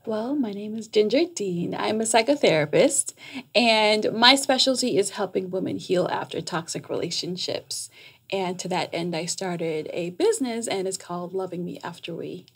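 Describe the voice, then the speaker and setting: A middle-aged woman talking conversationally as an interview guest, explaining things in an animated way with a slightly husky, creaky voice, close on a studio mic. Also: medium pitch, normal speed. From Jamaica, raised in New York. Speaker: guest, psychotherapist. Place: small foam-treated podcast studio. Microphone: close boom-arm studio microphone.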